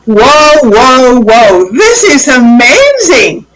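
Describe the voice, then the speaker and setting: A woman's voice: high voice, very animated, drawn-out words, poor audio. A woman hosting a live television interview. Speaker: TV show host.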